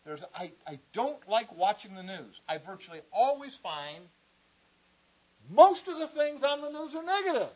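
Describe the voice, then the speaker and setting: A man with a high voice leads an informal Bible class, talking to his students in a loose, conversational way. The recording is muffled and lo-fi, made in a classroom. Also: big pitch swings, moderate pace, a little mellow. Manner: conversational, chatty asides with the class. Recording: dull lo-fi recording.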